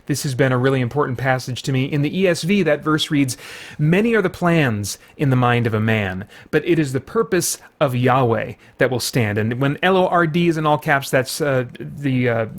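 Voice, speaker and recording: A man's voice: mid-range voice, expressive, moderate pace. A man giving casual gameplay commentary as a show host, conversational and musing as he thinks aloud. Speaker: gamer, host of a faith and geek culture show.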